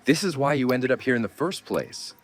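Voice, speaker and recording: A man playing a gruff, blunt, world-weary survivor mentoring a kid in a horror audio drama. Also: medium pitch, expressive, brisk, close to an everyday voice. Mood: stern scolding. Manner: blunt, scolding, urgent.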